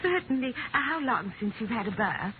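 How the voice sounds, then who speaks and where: A woman's voice: on the high side, sing-song, brisk. Fluttery old mission lady, muffled radio. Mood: brisk, polite. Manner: fluttery, hesitant, politely officious. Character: smiling, scatterbrained, kindly old lady running a mission. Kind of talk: 1950s radio acting. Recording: muffled, noisy vintage radio broadcast.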